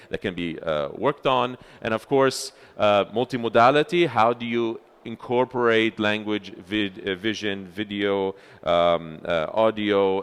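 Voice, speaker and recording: A man giving a conference talk as chair of a research center, speaking loudly into a microphone with frequent filler sounds and restarts. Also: lowish voice, smooth. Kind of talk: conference presentation. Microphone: handheld or stage microphone.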